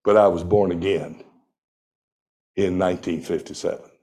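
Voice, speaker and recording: A man in his sixties preaching a sermon, reading scripture aloud with deliberate emphasis. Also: low-pitched, fairly even intonation, warm tone. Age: 60s. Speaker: pastor.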